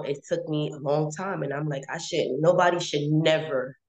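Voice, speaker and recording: A woman's voice: low voice, rough recording. A woman in her late twenties telling her own story casually and candidly, repeating phrases for emphasis. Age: 20s.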